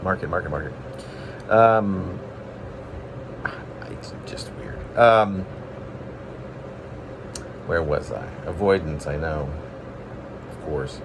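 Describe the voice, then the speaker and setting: A man's voice: deep voice, extremely slow, gravelly, pauses often, noisy background. A man reading his own poems in an informal, rambling way, with self-deprecating asides and playful drama. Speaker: poet reading own work.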